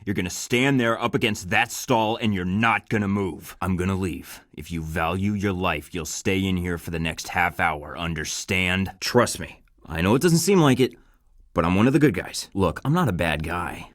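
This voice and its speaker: A deep-voiced, cocky American spy. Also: male voice, moderate pace, a bit bright, no echo. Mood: interrogating. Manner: wisecracking, flirty and menacing by turns. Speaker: game protagonist. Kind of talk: video game voice acting.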